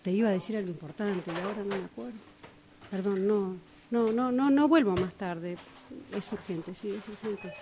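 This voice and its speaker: An Argentine woman in her sixties acting out a dialogue exercise in a halting, hesitant way. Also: medium pitch, noisy background. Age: 60s. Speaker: radio drama workshop student.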